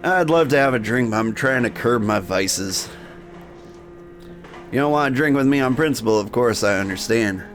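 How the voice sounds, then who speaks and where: A man's voice: mid-range voice, expressive, moderate pace, soft background music, lightly acted. A man voicing a weary, brooding Victorian minotaur who narrates a story game in wry, old-fashioned slang. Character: world-weary male Victorian minotaur, wry, struggling with vices. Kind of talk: first-person game narration in character.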